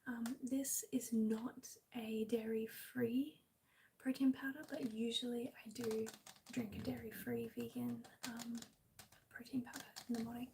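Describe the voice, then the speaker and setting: A woman with an Australian accent chatting gently in a hushed, breathy, near-whispered ASMR live stream style. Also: fairly high pitch, little pitch movement, a little slow, slight background noise. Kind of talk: soft-spoken ASMR live chat with viewers.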